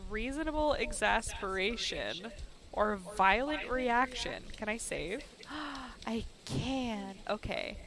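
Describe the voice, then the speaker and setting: A woman hosting a game playthrough, reading a visual novel aloud with sarcastic, sweary, expressive commentary. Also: fairly high pitch, slow pace, crowd noise. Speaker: gaming video host. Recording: music behind the voice.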